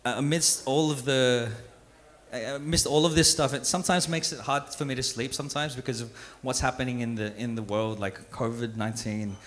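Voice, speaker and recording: A man's voice: medium pitch, fairly even intonation, fairly quick. A young man doing a live stand-up set on a stage mic, self-deprecating and loose, stumbling and restarting as he goes. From Philippines, raised in Australia. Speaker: stand-up comedian. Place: live venue with an audience. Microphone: stage microphone.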